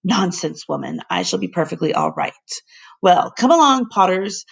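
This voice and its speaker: Storybook professor, female reader: older man, eccentric, hearty, exclamatory, playful, some creak. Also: lowish voice, animated, moderate pace, exaggerated voice. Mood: breezy, assured.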